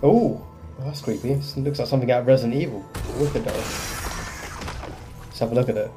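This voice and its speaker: A man's voice: mid-range voice, normal speed. A man giving casual gameplay commentary as host of a gaming video. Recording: faint game music behind.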